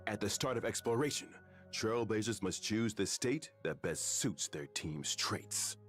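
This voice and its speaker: Composed, lively male game presenter. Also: on the low side, a little slow, soft background music. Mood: composed, explanatory. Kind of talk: scripted game update narration.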